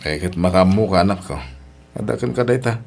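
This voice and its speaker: A man's voice: deep voice, brisk, vocal fry. A man with an Ilocano accent voicing a boastful middle-aged father in an audio drama.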